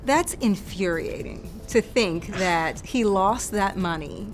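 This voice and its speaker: A woman's voice: mid-range voice, lively intonation, slow pace, soft background music. A woman with an American accent presenting broadcast news as an anchor.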